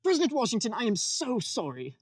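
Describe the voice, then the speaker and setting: A woman's voice: a little high, huge pitch swings, brisk, breathy edge, full character voice. A woman playing a flustered, deferential male committee adviser in a radio comedy sketch. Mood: dismayed. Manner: deferential, prim, apologetic. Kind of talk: old-time radio sketch comedy parody.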